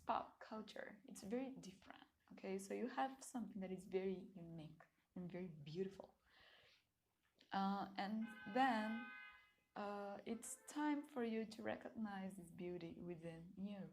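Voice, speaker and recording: A young adult woman whose first language is Portuguese, hosting a casual pick-a-card reading in a gentle, soft-spoken way with frequent light laughs. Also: medium pitch, creaky, a little hiss or hum. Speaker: card reader and channel host.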